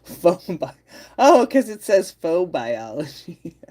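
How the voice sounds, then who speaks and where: A playful woman hosts a casual livestream, laughing often as she reads chat aloud. Her voice sits a little low and swings widely in pitch. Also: very animated, slow, warm tone. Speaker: livestream host.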